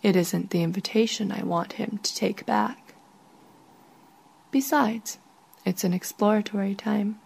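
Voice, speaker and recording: A woman narrates a first-person literary story very slowly. Her voice is breathy and creaky, often dropping close to a whisper. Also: mid-range voice, darkish tone. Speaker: author reading own short story.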